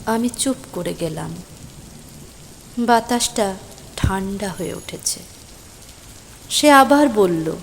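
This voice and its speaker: A woman playing a stranded, concerned young woman who narrates her own mystery story, emotive and suspenseful, with deliberate pauses. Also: medium pitch, lively intonation, gravelly, a little hiss or hum. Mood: puzzled, concerned. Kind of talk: scripted first-person dramatic story narration. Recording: voice over rain and thunder ambience.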